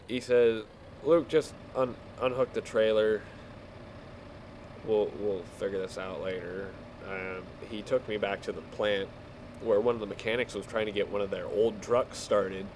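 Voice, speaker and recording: Halting man storytelling over noisy gameplay. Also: on the low side. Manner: halting, repeats words, breaks off to mutter at the game. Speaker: video game player telling stories.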